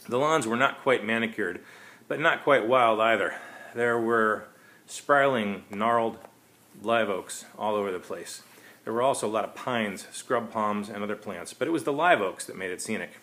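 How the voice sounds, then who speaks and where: A man's voice: fairly low pitch, moderate pace, pushed and bright. A man reading his own short story aloud as narrator, in a wry, conversational manner.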